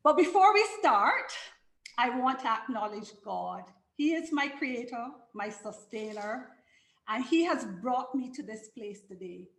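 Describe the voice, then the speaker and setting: A woman hosting a live online conversation, speaking slowly and deliberately. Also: a little high, animated, fairly bright tone. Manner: slow and deliberate, prayerful. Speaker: live show host. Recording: echoey room.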